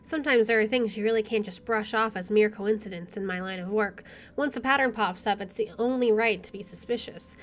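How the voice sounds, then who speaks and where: A woman's voice: medium pitch, brisk, few pauses, soft background music. A young adult woman streaming a game, reading a visual novel aloud with casual commentary. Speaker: gaming streamer.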